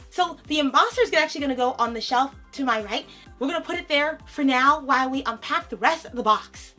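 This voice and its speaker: Young woman vlogger, high bright voice, bubbly and giggly, slightly raspy from a sore throat. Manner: bubbly, giggly, calls viewers "lovelies". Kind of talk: casual unboxing vlog.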